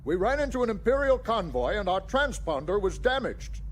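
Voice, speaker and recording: A high, swooping voice for a grave alien fleet admiral in a space audio drama. He speaks formally and with weight, over faint background noise. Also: big pitch swings, normal speed. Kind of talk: sci-fi audio drama acting.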